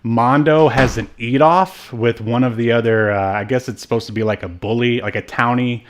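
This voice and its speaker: A man co-hosting a podcast, chatting casually about films in a halting, rambling way. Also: mid-range voice, steady tone, slight background noise. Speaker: podcast co-host. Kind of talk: casual movie discussion chat.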